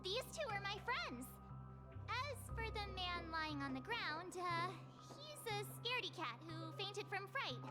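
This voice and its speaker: Game casting: bubbly young girl adventurer, very high voice with lively swoops, music underneath. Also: unhurried, exaggerated voice. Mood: hesitant, friendly. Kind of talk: English video game voice acting.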